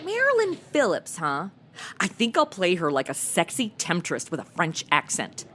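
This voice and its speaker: A woman's voice: fairly low pitch, wildly swooping pitch, moderate pace, fairly bright tone, faint music behind. An actress playing a flippant, self-absorbed modern young woman in a comedy audio drama, breezy, sassy and slangy. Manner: sassy, breezy, slangy, valley-girl phrasing.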